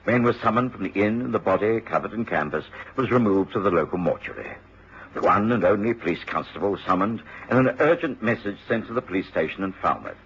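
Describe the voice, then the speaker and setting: An actor playing a hearty, mild middle-aged English doctor, a detective's companion, in a radio drama. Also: male voice, on the low side, animated, fast, a bit bright, slight background noise. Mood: grave narration. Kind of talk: radio drama acting and narration. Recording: dull old radio recording with music.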